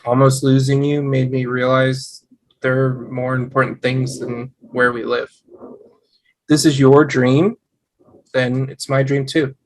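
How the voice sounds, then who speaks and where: A man playing an eager, devoted young husband in a sitcom table read, earnest and excitable. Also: mid-range voice, slow pace, natural voice, low-quality recording. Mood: tender, sheepish.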